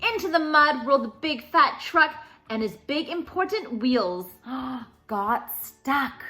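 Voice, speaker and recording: A woman hosting a children's story time, reading a picture book aloud in an expressive, sing-song way. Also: high voice, takes it slowly.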